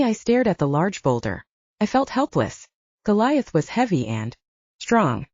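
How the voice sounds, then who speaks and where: A woman's voice: on the low side, darkish tone, dry recording, highs cut off. A woman narrating a fiction audiobook in a dramatic first-person storytelling style.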